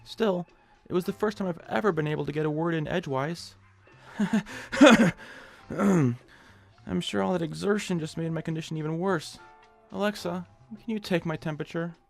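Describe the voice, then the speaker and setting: Flustered, creaky-voiced nebbish. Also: male voice, on the high side, normal speed, a little mellow, faint music behind. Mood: chatty, uneasy. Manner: stammering, whiny, exclaiming. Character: meek, flustered nebbish man, hapless and henpecked. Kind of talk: modern revival of old-time radio comedy drama acting.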